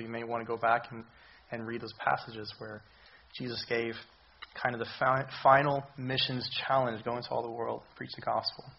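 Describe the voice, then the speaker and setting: A man giving a sermon as a visiting missions speaker, his voice hoarse from recent illness, talking in a halting way with many ums and restarts. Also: mid-range voice, highs cut off, a little hiss or hum. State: hoarse, recovering voice. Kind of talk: church sermon. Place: church.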